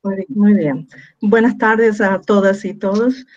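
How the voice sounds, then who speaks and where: A Chilean-born woman whose Spanish carries a strong Brazilian Portuguese accent, giving a measured talk on a video call with frequent hesitations. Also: mid-range voice, fairly even intonation, a little mellow, lo-fi sound. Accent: Chilean Spanish with strong Brazilian Portuguese influence. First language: Spanish, long living in Brazil. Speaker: architect and webinar speaker.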